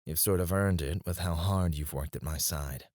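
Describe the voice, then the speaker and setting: Male actor as obsessive chief executive, low voice, dry close sound, smug, condescending, menacing, ASMR roleplay. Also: a little mellow, no room sound, lightly acted. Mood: courteous, probing. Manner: smooth-talking, condescending, drawn-out deliberate phrasing.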